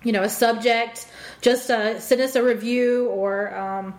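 Loud, giggly Southern woman. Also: fairly high pitch, steady tone, measured pace. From the Carolinas, US. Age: 30s. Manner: giggly, chatty, loud-voiced. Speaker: podcast co-host. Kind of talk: casual chat.

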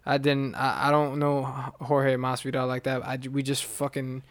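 Excitable, foul-mouthed man chatting. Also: mid-range voice, moderate pace, clean tone, no echo. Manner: swears freely, excitable, rambling with restarts. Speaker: podcast co-host. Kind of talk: casual chat about fights.

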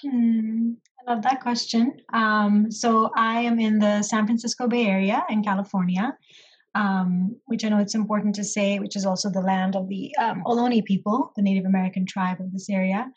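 Middle-aged woman from Pakistan, therapist guest, husky voice, muffled call audio, thoughtful with lots of ums. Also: medium pitch, flat delivery. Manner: thoughtful, many ums and soft pauses. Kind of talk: casual interview conversation.

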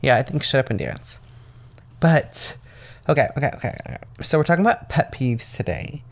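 A young man talking through his own podcast in a loose, rambling way, his voice gravelly and a little raspy. He sounds tired, as if he got up before dawn. His pitch sits in an ordinary middle range for a man. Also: very animated, normal speed. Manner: rambling, meandering talk full of "um" and "like". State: tired from waking very early. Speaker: podcast host. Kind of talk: casual solo podcast monologue.

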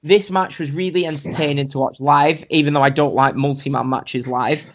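Casual podcast host, mid-pitched, chatty rundown style. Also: fairly even intonation, moderate pace. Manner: chatty, rundown of matches with asides to co-hosts. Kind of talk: casual wrestling podcast chat.

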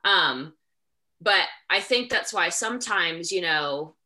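A woman's voice: fairly low pitch, expressive, takes it slowly, sharp tone. A young woman in her twenties, a gym coach, answering interview questions with earnest enthusiasm.

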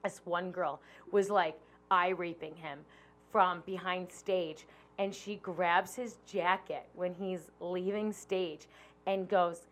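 A woman's voice: lowish voice, steady tone, slow pace, fairly bright tone. A woman in her late twenties hosting a podcast, telling a story in a chatty, casual way and swearing freely. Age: 20s. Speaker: podcast host. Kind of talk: casual chat.

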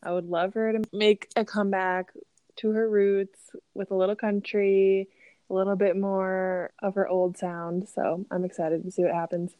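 A woman's voice: medium pitch, flat delivery, moderate pace, no room sound, phone-quality sound. A woman in the middle of her range, chatting easily as a podcast co-host and peppering her talk with "like." The sound is dry and close, with no room behind her. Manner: chatty, lots of "like" fillers. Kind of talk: casual chat.

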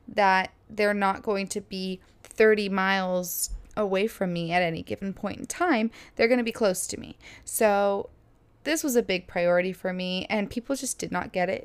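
A woman in her twenties from Florida, telling a casual story in a chatty, lively way with many fillers and laughs. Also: on the high side, clear, resonant tone. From Florida, USA. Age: 20s. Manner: chatty, animated, lots of "like" and laughs. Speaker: vlogger.

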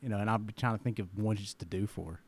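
A man's voice: on the low side, fast. A man in his forties hosting a casual interview, telling jokey stories in a chatty way. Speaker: podcast host.

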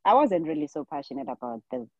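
Low-voiced Zimbabwean woman, muffled sound. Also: big pitch swings, fast talker, no echo. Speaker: podcast guest, nurse practitioner. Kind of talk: casual interview chat.

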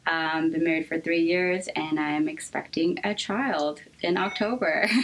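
A young adult American woman talking candidly as an interview guest, thoughtful and halting in her delivery. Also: deep voice, a bit bright, poor audio. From United States, raised in Seattle.

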